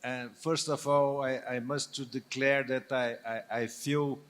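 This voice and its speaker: Brief: older male panel guest, Portuguese accent, slow and hesitant, stumbles and restarts, mid-pitched voice. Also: flat delivery, a bit bright. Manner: halting, hesitant, frequent restarts. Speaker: business leader guest. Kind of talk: panel discussion on stage.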